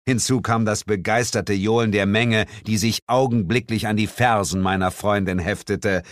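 A man reads an audiobook in first person with a low, measured, theatrical delivery. He sinks even deeper for the grim beats. The sound is dry and close. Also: no echo. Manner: measured, theatrical, drops low for dramatic lines. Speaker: audiobook narrator.

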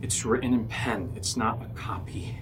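A man's voice: lowish voice, moderate pace, lightly acted. A man acting a jumpy, sarcastic young man in a horror audio drama, hesitant and wisecracking in a naturalistic way. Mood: tense, urgent. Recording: noisy amateur location recording, uneven levels.

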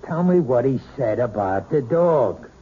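An actor playing a blunt, bullying working-class American husband in a 1970s radio drama, impatient and sarcastic. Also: male voice, mid-range voice, expressive, measured pace, mellow. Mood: angry, badgering. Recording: muffled, husky-sounding old radio broadcast.

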